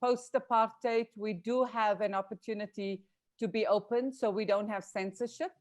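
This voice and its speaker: A woman's voice: mid-range voice, fairly even intonation, normal speed, darkish tone. A woman from South Africa answering questions on a video call in an earnest, grateful way, with frequent restarts. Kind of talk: reflective panel discussion.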